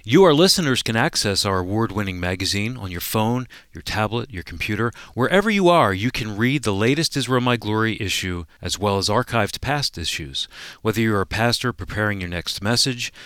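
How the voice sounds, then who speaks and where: A man's voice: fairly low pitch, a bit bright, no echo, studio quality. A man reading a scripted promotional announcement as a radio co-host.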